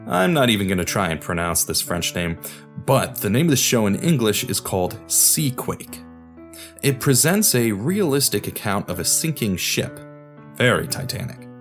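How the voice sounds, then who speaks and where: Low-pitched male podcast host, lively intonation. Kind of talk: scripted podcast narration with casual asides.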